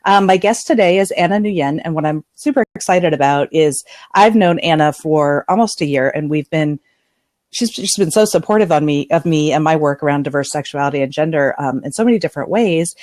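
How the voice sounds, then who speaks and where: A woman's voice: low voice, brisk, a little mellow, no echo, studio quality. A woman hosting a live online talk show, chatty and enthusiastic, speaking on a video call with headphones. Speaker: host.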